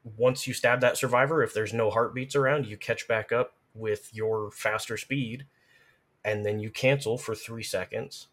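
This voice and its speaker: A man's voice: mid-range voice, steady tone, a little slow, a bit bright. A man chatting casually as a guest, explaining video game play in detailed, analytical fashion. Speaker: podcast guest, gamer.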